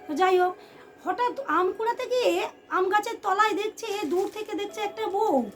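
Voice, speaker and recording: A woman tells a ghost story to listeners in an expressive, suspenseful way, repeating phrases for effect. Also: very high-pitched, moderate pace. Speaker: storyteller, channel host. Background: background music.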